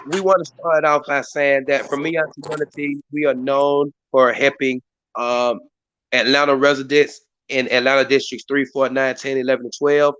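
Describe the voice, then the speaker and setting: A hoarse man hosts a community live stream in a rambling way, full of fillers and restarts. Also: mid-range voice, bright, forceful tone, muffled recording. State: hoarse, voice partly lost. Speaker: community activist hosting a live stream meeting. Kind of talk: casual hosted talk. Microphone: live stream mic.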